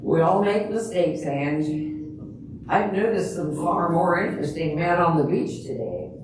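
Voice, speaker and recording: Older woman as a dry, low-voiced friend, echoey hall. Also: animated, unhurried. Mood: chatty. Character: dry, chatty older woman, long-suffering best friend. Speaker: amateur readers theater performer. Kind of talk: comedic stage reading. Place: large echoey room before an audience. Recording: echoey hall from far off, noisy.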